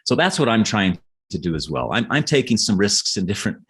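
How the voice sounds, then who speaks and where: Man, middle-aged, low pitch, earnest, casual interview chat, repeated words, frequent check-ins. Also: big pitch swings, brisk, a bit bright, husky. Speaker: author guest.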